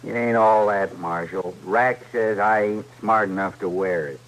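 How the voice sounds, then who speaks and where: An actor playing a slow-witted, eager-to-please outlaw sidekick with a plaintive rural Western American drawl in a radio drama. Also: male voice, lowish voice, steady tone, natural voice. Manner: plaintive, simple, ungrammatical drawl. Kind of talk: old-time radio Western acting. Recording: noisy vintage radio recording with clicks.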